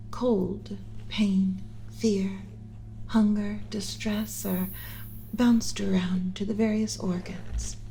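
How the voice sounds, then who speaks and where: A woman plays a tender alien mother tending her human pet in an ASMR roleplay. Her voice is soft, dark and relaxed, often slipping into a breathy whisper. She speaks deliberately, clinical yet comforting, close to the mic with a faint bed of background noise. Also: mid-range voice, poor audio.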